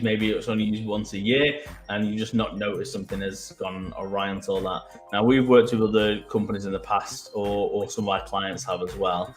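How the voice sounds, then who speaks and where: A man with a Mancunian accent, co-hosting a casual business podcast and explaining web development in a conversational, halting way. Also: fairly low pitch, roomy sound. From Manchester.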